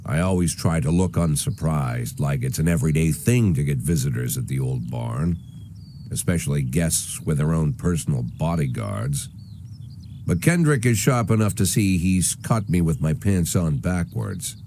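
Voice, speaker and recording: An actor playing a bitter, aging police chief who narrates in a world-weary, sardonic, deliberate way for a noir game. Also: male voice, rumbling low, animated, warm tone, a little hiss or hum. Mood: wry, brooding. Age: older.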